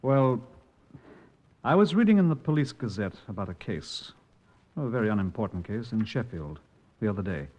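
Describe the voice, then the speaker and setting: An actor playing a methodical, respectful police sergeant in an old-time radio crime drama. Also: male voice, fairly low pitch, very animated, normal speed, long pauses, warm tone. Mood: thoughtful, measured.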